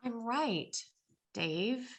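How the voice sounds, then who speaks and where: Woman acting, exasperated woman, high-pitched, animated, argumentative, hesitant starts, stage comedy. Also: drawn-out words, slightly rough, highs cut off, heavily acted. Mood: pleading. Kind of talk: comedic play table reading. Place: indoors, remote video call.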